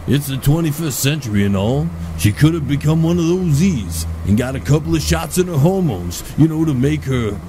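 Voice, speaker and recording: A man's voice: a little high, animated, moderate pace, few pauses, dark tone, a little hiss or hum, lightly acted. A man playing a crude, bossy, cowardly uncle with a brash, sarcastic delivery in a comedy audio drama. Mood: rambling.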